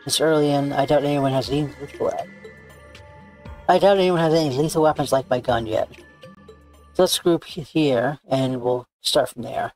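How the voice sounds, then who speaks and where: A man's voice: on the high side, moderate pace, a little mellow, close to an everyday voice, poor audio. A man voicing a cocky, bossy young woman tracker, boastful and blunt, reading visual novel game dialogue. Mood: practical. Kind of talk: character read-aloud of game dialogue. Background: background music.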